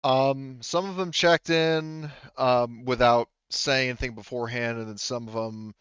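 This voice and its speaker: A man acting as game master, improvising explanations in a halting way and often circling back to rephrase himself. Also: mid-range voice, fairly even intonation, fairly bright tone, clear, resonant tone, no room sound, dull audio. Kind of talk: improvised narration for a tabletop roleplaying game.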